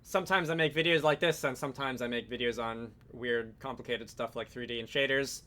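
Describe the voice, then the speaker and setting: A man's voice: medium pitch, fairly even intonation, fairly quick, sharp tone. A man hosting a casual game development tutorial, explaining off the cuff, hesitating and correcting himself as he thinks aloud. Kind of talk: casual screen-recorded software tutorial, improvised explanation.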